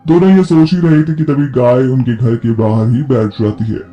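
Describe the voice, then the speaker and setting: A man's voice: mid-range voice, fairly even intonation, moderate pace, mellow, lo-fi sound. A man narrating a scripted folk story as the narrator.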